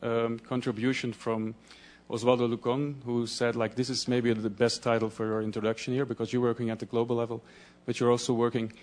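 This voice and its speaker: A man from Belgium, a Dutch speaker, giving a conference presentation in a halting way, often restarting as he searches for words. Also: medium pitch, little pitch movement, darkish tone.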